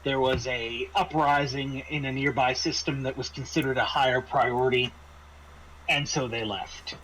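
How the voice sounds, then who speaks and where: A man running a tabletop roleplaying game, casually briefing his players in a halting, explanatory way with frequent hesitations and restarts. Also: medium pitch, steady tone, fairly bright tone, a little hiss or hum, rough recording. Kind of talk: semi-improvised briefing to players, narrating a scenario.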